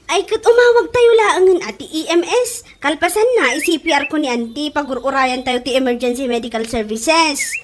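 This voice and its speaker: A woman with a Filipino accent playing a brisk young caregiver in a radio drama, urgent and taking charge. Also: high-pitched, a little hiss or hum, close to an everyday voice. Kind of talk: Ilocano radio comedy drama acting.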